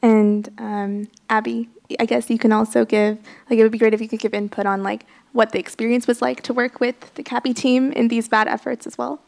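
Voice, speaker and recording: Flat gravelly woman moderator. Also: fairly high pitch. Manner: brisk, summarizes and hands off questions. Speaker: panel moderator. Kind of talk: moderating a conference panel. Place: large conference hall with audience.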